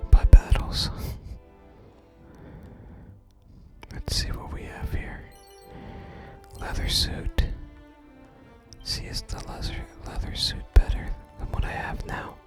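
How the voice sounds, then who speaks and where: A gaming ASMR host whispers softly and breathily, close to the microphone. The delivery is hushed storytelling, moving between game text and quiet commentary. Music plays clearly underneath the whisper. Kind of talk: whispered ASMR game playthrough, reading game dialogue aloud.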